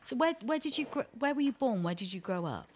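Lively high-voiced woman asking questions. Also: fast. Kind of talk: casual chat.

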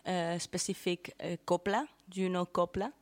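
Argentine-born woman, kinda low voice, halting english, laughs a lot. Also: fairly even intonation. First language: Spanish. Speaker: guest. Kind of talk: casual chat.

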